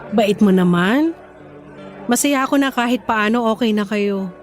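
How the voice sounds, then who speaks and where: A young woman voicing a supportive girlfriend in casual, expressive radio drama dialogue. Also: medium pitch, a little slow, lightly acted. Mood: sympathetic. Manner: expressive, casual, sympathetic, code-switching into English. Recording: faint music under dialogue.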